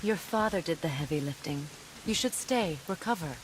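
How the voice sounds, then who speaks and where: A woman playing a wise, sorrowful, motherly witch in a video game, speaking in a measured, solemn and tender way. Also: sits low, animated, noisy background. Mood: gentle, wry. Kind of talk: dramatic video game cutscene acting.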